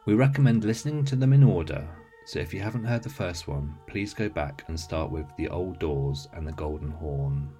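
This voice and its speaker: A man's voice: deep voice, moderate pace, few pauses, music in the background. A man reading a scripted introduction as the host of a guided meditation audio series.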